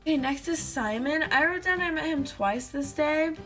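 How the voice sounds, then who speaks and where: A teenage girl vlogging casually to a webcam in her bedroom, telling stories with lots of fillers and giggles, her voice slightly hoarse from having lost it. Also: high-pitched, expressive, moderate pace, a bit bright, faint music behind. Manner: chatty, giggly storytelling with many fillers. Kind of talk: casual chat to camera. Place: small bedroom indoors.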